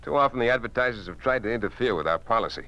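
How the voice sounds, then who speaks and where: An actor playing a blustering, evasive newspaper publisher in a 1950s radio drama, brusque, placating and pleased with himself. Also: male voice, mid-range voice, animated, rapid-fire, pushed and bright, lo-fi sound. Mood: brusque, dismissive. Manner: brusque, placating, self-satisfied.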